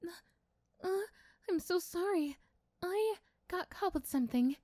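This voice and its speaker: Woman voicing a high-pitched shy young class president. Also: lively intonation, unhurried, warm tone, dry recording, clean studio sound. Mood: startled, flustered. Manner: hesitant, stammering, polite.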